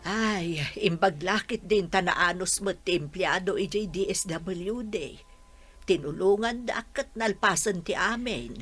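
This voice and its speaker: A woman's voice: fairly low pitch, measured pace, a bit bright, breathy edge, faint music behind. A woman playing a caring older village mother in a radio drama, coaxing and advising. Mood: concerned, advising.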